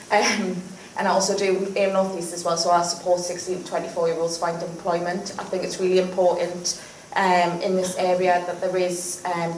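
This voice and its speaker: A woman's voice: lowish voice, a little mellow. A young woman giving a hesitant hustings speech as a candidate, with frequent ums. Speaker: election candidate. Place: public meeting hall. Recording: roomy hall, distant mic, faint noise.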